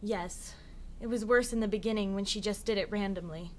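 An actress playing a protective, careful caregiver at a psychiatric ward in a radio drama. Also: female voice, mid-range voice, fairly quick, lightly acted. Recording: audible background noise.

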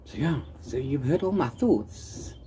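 A man's voice: medium pitch, animated, unhurried. A man chatting casually in a book review vlog, rambling and trailing off into repeated filler phrases. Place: open-sided corrugated-iron shelter outdoors. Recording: clear background noise, open-air ambience.

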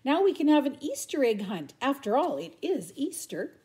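A woman's voice: high-pitched, big pitch swings, normal speed, mellow, pitched above the performer's own voice. A woman voicing a small, playful, mischievous boy bunny in a storybook. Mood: gleeful.

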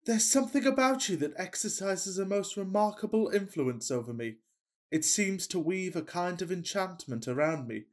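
A man's voice: high voice, animated, moderate pace. Pompous young gent , male actor, Victorian farce for radio. Florid, earnest declaiming, easily smitten. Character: pompous, lovestruck, miserly young Victorian gentleman. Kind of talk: farcical period radio play acting.

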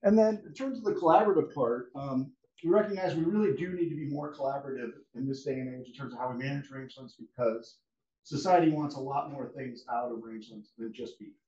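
A man gives a relaxed academic seminar, pausing often with fillers and restarting his sentences. He sounds set back from the mic in a roomy lecture hall. The recording is a little muffled. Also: mid-range voice. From United States. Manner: casual, halting, many fillers and restarts. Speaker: guest speaker, rangeland scientist. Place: lecture room. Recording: echoey room audio from a distance, dull.

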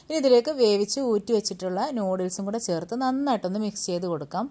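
A woman hosting a home cooking channel, giving brisk step-by-step recipe instructions in a voice-over. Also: medium pitch, warm tone. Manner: brisk, step-by-step instructing, Malayalam mixed with English words.

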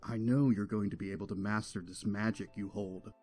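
A man voicing a gentle, protective pony king and father in a cartoon, patient and reassuring. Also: on the low side, fairly even intonation, a little fast, faint music behind, close to an everyday voice.

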